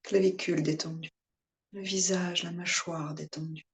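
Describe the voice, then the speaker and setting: A woman yoga instructor guiding a relaxation meditation in calm, soothing short phrases with pauses. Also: on the low side.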